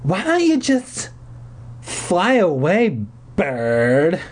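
Guy doing a sick mean teen girl from a storybook, shrill n hoarse, swooping way up high. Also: wildly swooping pitch, slow pace, a little mellow, heavily acted. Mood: nasty, accusing. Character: sick, spiteful teenage girl accusing her friend.

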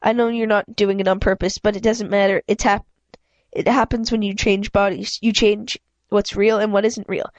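A woman voicing a soft-spoken, calmly firm female reality police officer in a storybook, gentle and explanatory. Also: medium pitch, normal speed, no room sound, dull audio, natural voice. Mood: firm, soothing.

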